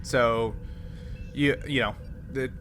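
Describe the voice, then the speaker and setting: A man's voice: mid-range voice, steady tone, takes it slowly, fairly bright tone, a little hiss or hum. A man narrating as game master of a tabletop roleplaying game, explaining in a conversational way. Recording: music playing behind the voice. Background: music.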